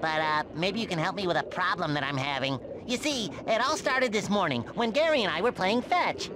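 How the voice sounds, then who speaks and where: A man's voice: high voice, expressive, fairly quick, noisy background. A man voicing an eager, childlike, cheerful sea sponge for a cartoon game, earnest, exclamatory and over-polite.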